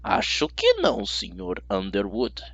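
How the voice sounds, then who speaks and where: A man acts out a stern female schoolteacher from a storybook, icy and menacing. The words come slowly and deliberately, swinging in pitch like orders that allow no argument. The recording is dry and slightly dull. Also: huge pitch swings, gravelly, fairly bright tone, breathy edge, dry recording, full character voice. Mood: icy, commanding. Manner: drawn-out, deliberate, commanding delivery.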